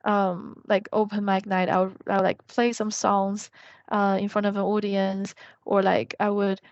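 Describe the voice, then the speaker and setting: A young woman chats casually as a podcast guest. Her speech rambles with lots of "like" and "um" fillers at a middle pitch. The recording is dull and dry, with no room sound. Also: completely flat delivery, moderate pace, no room sound. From China. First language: Mandarin. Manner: rambling, heavy use of "like" and "um".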